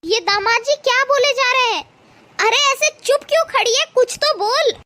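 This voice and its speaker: Extremely high, childlike cartoon Indian family woman, acted out. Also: moderate pace, pushed and bright, dry recording. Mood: ashamed, scolding. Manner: reproachful, dramatic, pleading. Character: protective, indignant mother-in-law and her young married daughter. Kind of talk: animated moral-story dialogue.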